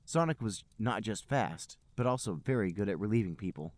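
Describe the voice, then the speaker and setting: A man's voice: lowish voice, animated, fairly quick, dry recording. A man hosting a podcast, reading comedic narration aloud. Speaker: podcast host and reader.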